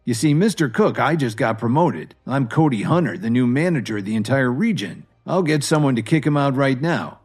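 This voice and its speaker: Cowardly bully of a manager acted by a male narrator, animated pitch, music underneath. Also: a little fast, few pauses, husky. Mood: nervous grovelling. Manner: blustering, obsequious to superiors. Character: balding bully husband, cowardly grovelling manager. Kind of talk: audio drama character voice.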